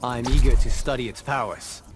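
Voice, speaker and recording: A man's voice: mid-range voice, steady tone, moderate pace, lightly acted. A man playing an earnest, modest young scientist superhero in a video game, polite and precise. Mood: modest, eager. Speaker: voice actor. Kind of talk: video game pre-fight dialogue. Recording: very noisy game audio with effects.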